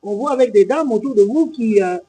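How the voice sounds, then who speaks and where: A man's voice: high voice, lively intonation, fairly quick, dark tone, a little hiss or hum, poor audio. A male TV host, asking pressing, insistent questions. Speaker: TV host, interviewer. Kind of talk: lively interview questions.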